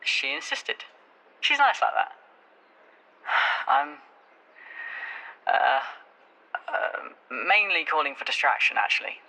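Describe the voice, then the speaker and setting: An actor plays a caring male friend in an audio drama. His voice is very crisp-edged and a touch high, delivered naturally with wry, slightly hesitant concern. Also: sing-song, takes it slowly, long pauses, bright, forceful tone, exaggerated voice, rough recording.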